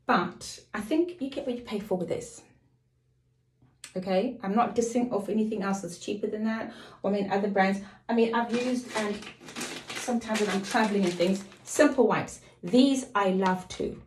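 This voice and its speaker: A middle-aged woman with a slightly croaky voice, casually chatting to camera as a lifestyle vlogger, rambling off on tangents. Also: medium pitch, a little mellow. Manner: rambling, digresses and apologizes. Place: home. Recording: echoey room.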